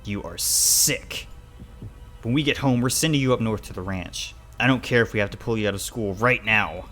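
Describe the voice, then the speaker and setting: A man's voice: on the low side, quick pace, a bit bright, faint music behind, close to an everyday voice. A man voicing a stern, pious animal father in a dramatic story, scolding and accusing with disgust. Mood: cold disgust.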